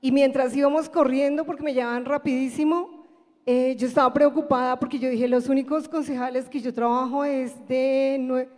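A woman's voice: high voice, fairly even intonation, normal speed, a little mellow. A woman giving a narrative religious testimony as a church member. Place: large church hall. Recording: echoing large hall.

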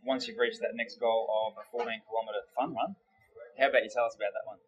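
A man's voice: on the low side, steady tone, quick pace, muffled recording. Australian man, a personal trainer interviewing. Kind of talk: testimonial interview.

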